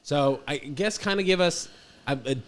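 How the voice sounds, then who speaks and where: A man's voice: fairly high pitch, normal speed. A man hosting a casual podcast interview, using lots of bro-style slang and eager interjections. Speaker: podcast host, comedian.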